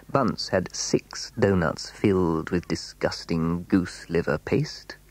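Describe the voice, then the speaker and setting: A man with a husky voice narrating a scripted children's story as its storyteller. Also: deep voice.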